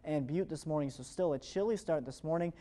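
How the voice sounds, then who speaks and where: An American man delivers a morning TV weather forecast. He talks quickly and fluently in an upbeat, polished presenter's style, moving briskly from one point to the next. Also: fairly high pitch, warm tone. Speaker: television meteorologist.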